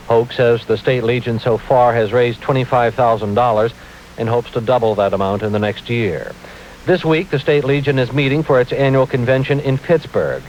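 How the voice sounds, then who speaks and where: A man's voice: medium pitch, darkish tone. American radio newsman, dull hissy AM recording. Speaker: radio news reporter. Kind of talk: scripted news report.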